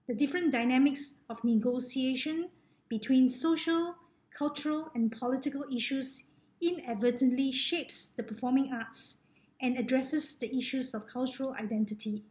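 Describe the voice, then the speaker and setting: A middle-aged woman from Malaysia, a researcher who reads her thesis presentation to a webcam in a measured, formal way. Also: high-pitched, darkish tone. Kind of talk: scripted academic presentation. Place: indoor room, on webcam. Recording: echoey room on a laptop mic.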